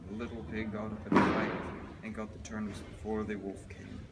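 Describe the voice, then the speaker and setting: A man with a fairly low voice reads a children's fairy tale aloud as a storyteller. He is recorded in a reverberant hall, with clear background noise. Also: monotone, moderate pace.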